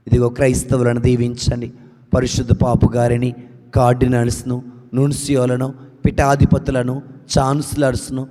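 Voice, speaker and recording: A man's voice: a little high, a little mellow. A man leading an intercessory prayer, reciting long lists in a rising and falling cadence. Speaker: prayer leader. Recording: long hall reverb.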